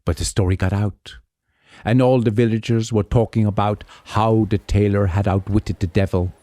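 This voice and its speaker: A man narrating a folk tale as a storyteller, with theatrical, deliberate phrasing. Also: lowish voice, fairly even intonation, dark tone, dry recording, studio quality.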